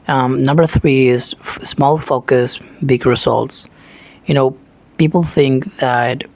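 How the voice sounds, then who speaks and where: A man from Argentina with an Argentine Spanish accent, a native Spanish speaker giving an earnest motivational talk in English, full of "you know" fillers. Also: mid-range voice. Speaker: self-taught English learner, channel host.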